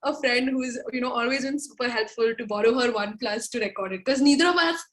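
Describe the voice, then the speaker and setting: Young female guest, from India, high-ish voice, loose rambling chat. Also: fast talker. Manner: chatty, meandering, laughs often. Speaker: singer-songwriter guest. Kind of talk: casual interview chat.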